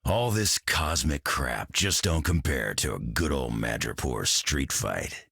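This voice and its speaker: An actor playing a gruff, weary old mutant brawler in a video game, with a gravelly growl and grumbling, folksy slang. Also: male voice, very deep voice, expressive, slow, sharp tone, no room sound, studio quality, exaggerated voice. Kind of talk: video game character lines.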